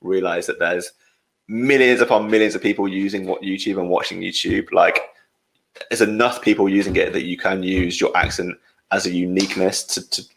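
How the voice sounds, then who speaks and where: A young man from the English Midlands talking in a relaxed podcast chat. His voice sits low with a gravelly, creaky edge, and he reads viewer comments aloud, laughing easily between them. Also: normal speed, low-quality recording. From England, Midlands. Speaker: podcast host.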